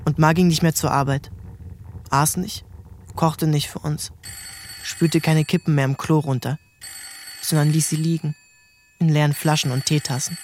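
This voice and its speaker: A man's voice: fairly high pitch, fairly even intonation, normal speed, pauses often, slight background noise. A man giving scripted literary narration as the narrator of an audio drama. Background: faint music.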